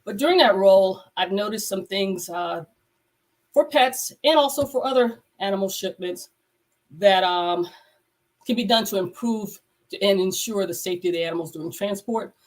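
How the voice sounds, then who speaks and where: A woman's voice: medium pitch, normal speed. Congested woman veterinarian host. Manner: rambling, with many fillers and restarts. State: congested from a cold. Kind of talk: casual live-stream chat. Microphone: video call.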